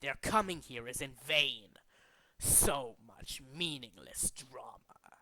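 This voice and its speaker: Man acting a slow, grandiose cold villain boss. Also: mid-range voice, expressive, bright, forceful tone, no room sound, exaggerated voice, low-quality recording. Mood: contemptuous, resolute. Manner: grandiose, deliberate, menacing. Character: cold, megalomaniac male villain boss bent on remaking the world. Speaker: amateur voice actor in demo reel. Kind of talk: dramatic video game villain monologue.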